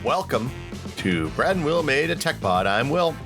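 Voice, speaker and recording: A man's voice: medium pitch, very animated, normal speed, vocal fry, over loud music. A middle-aged man co-hosting a podcast in casual chat, rambling with many restarts and goofy asides. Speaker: podcast co-host.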